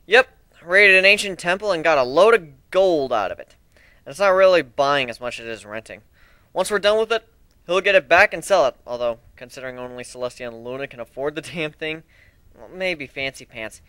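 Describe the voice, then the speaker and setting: Guy doing a brash griffin adventurer, talks slangy, bright punchy voice, dry storybook reading. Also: a little high, animated, fairly quick, clear, resonant tone, dry recording. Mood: matter-of-fact. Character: cocky, slangy young male griffin adventurer. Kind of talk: amateur dramatic story reading.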